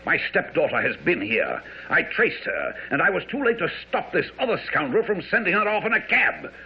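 An actor playing a furious, menacing doctor and stepfather in a radio drama, blustering and accusing with a raspy voice. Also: a little high, very animated, normal speed, exaggerated voice. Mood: furious, threatening. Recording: dull, lo-fi old radio broadcast.

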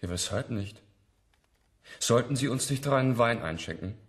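A man's voice: mid-range voice, expressive, normal speed, close to an everyday voice. A man acting a skeptical, challenging character in a radio drama, pointed in manner.

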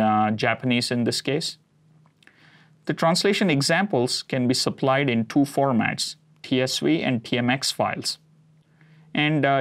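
Man calmly walking through a tech demo. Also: medium pitch. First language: Konkani. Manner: steady explanatory, occasional "uh" fillers. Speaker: cloud product presenter. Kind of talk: scripted tech demo narration. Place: studio set with map backdrop.

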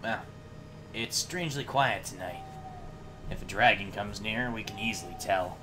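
A man casually voicing lines from a video game on a stream with friends, with computer noise behind him. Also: normal speed. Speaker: amateur voice actor and game streamer. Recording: noisy home mic with computer feedback. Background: computer noise and low rumble.

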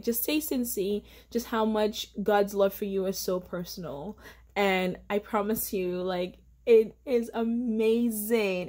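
A woman's voice: medium pitch, darkish tone. A young woman, sharing a casual devotional encouragement to camera at home, earnest and chatty with many fillers. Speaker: faith vlogger. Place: indoor living room at home.

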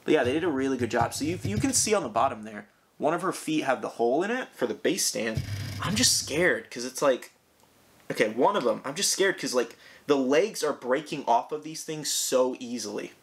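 A young man with a hoarse, raspy voice from a sore throat, chatting casually as he unboxes a collectible toy in his home room. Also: medium pitch, lively intonation, a little fast, slight background noise. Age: young adult. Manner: chatty, rambling, eager.

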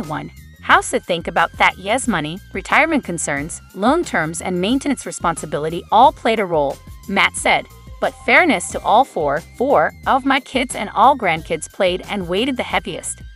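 A woman's voice: on the low side, fairly bright tone. A woman reading scripted celebrity gossip news as a voice-over, quotes read in her same narrating voice. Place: voice-over over photo slideshow.

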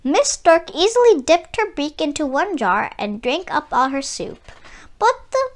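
A woman narrating a children's storybook aloud in an expressive read-aloud style. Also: high-pitched, measured pace.

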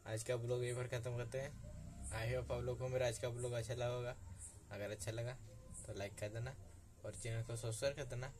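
A man's voice: medium pitch, little pitch movement, brisk, a little mellow. A young man in his twenties casually narrating a village daily vlog, filming himself on a phone held at arm's length in an outdoor courtyard. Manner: easygoing, chatty, points things out to viewers. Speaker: village vlogger. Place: village courtyard outdoors, rain, goats nearby. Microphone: phone selfie at arm's length. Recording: noisy outdoor phone recording.

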